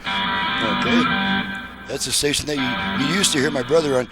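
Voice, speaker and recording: A man giving a casual, halting demonstration as a repairer, thinking aloud as he goes. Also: on the high side, expressive, over loud music. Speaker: radio repairer demonstrating his work.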